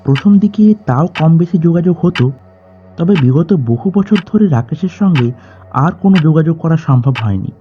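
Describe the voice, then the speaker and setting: An actor playing a guarded middle-aged doctor in an audio drama, speaking in a clipped, formal way. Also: male voice, a little high, animated, normal speed, natural voice. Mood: sombre, matter-of-fact. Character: curt, guarded doctor in his fifties, grieving a friend. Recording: slightly dull voice track under music. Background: background music.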